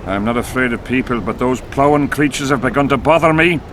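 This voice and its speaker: A man's voice: medium pitch, brisk, close to an everyday voice. An actor playing a coarse, haughty king in a fantasy game, grumbling and theatrical in his delivery. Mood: uneasy bluster. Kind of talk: fantasy game dialogue acting. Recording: clear outdoor noise behind.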